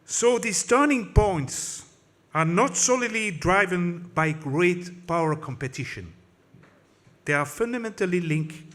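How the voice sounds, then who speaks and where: A man's voice: high-pitched, fairly bright tone. A man whose first language is French gives an impassioned political speech in English at a conference, with a French accent and frequent slips and restarts. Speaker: conference speaker.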